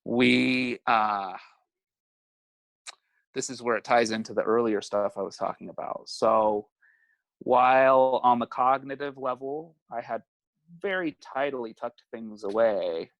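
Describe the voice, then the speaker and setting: Guest, male, late 30s; open, thoughtful talker with easy laughs, mid-range pitch. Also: a little slow, a bit bright, no echo, rough recording. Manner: reflective, laughs often, swears casually. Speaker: guest, family therapist. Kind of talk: candid conversational interview.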